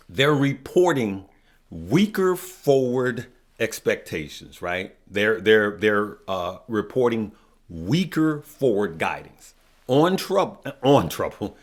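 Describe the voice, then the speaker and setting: Hoarse man quizzing his viewers. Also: fairly low pitch, sing-song, slow. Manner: asks questions then answers them; addresses viewers as good people. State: losing his voice. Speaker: stock market video host. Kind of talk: casual finance talk to viewers.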